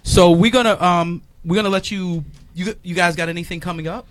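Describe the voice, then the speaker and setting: A man's voice: sits high, fairly even intonation, a little fast, no room sound. A man hosting a casual radio interview, who repeats his words and urges listeners on in slangy talk. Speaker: radio show host.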